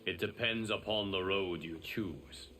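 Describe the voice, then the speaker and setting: A man playing a calm, all-knowing guardian, solemn and cryptic, in a video game. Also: low-pitched, measured pace, a little hiss or hum. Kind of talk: video game fight intro dialogue.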